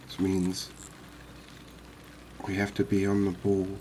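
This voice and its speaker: A man with a deep voice murmurs ASMR commentary on a game. He takes his time, with long slow phrasing and little melodic movement. Some background noise and ambience sits behind him. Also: completely flat delivery, dark tone. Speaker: host of a gaming series.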